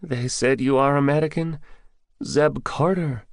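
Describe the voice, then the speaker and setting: A frightened young village storekeeper, as a man puts him on for an audiobook, his voice lifted well above the reader's own low register. He sounds anxious and pleading, with a bitter edge. The recording is dry and close. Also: fairly even intonation, measured pace, no room sound, close to an everyday voice. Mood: wondering. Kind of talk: audiobook character voice.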